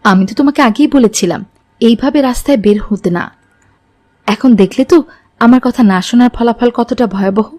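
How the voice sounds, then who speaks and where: A woman's voice: a little high, fairly quick, a little mellow, natural voice. A woman voicing a concerned, reproving mother in a moral cartoon story.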